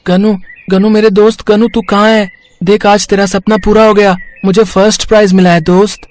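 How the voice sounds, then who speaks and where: A man plays a schoolboy in a Hindi moral tale. His voice sits a little high and sounds earnest and emotional, a wayward lad turned sincere and loyal friend. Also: level tone, normal speed, natural voice. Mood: excited searching. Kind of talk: Hindi moral storybook acting.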